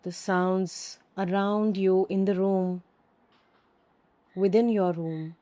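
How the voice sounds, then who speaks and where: A woman teaching yoga nidra, giving calm, soothing guided meditation instructions with long pauses. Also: mid-range voice.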